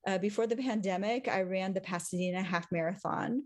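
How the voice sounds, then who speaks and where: A woman in her forties, an English professor and podcast guest, chatting casually and thoughtfully, with many pauses and frequent laughs. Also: medium pitch, a little mellow. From Taiwan, raised in the US. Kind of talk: casual conversation.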